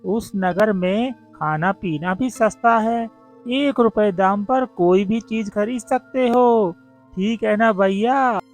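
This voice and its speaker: A man voicing a friendly cartoon villager, speaking in a drawn-out, folksy, loud way. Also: sits high, faint music behind, voice pushed up. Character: friendly male villager giving directions to travellers.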